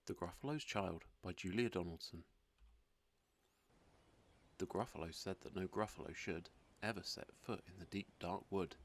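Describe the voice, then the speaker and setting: A man narrating a children's storybook aloud in an expressive, scripted read-aloud style. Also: low voice, moderate pace, pauses often, no room sound.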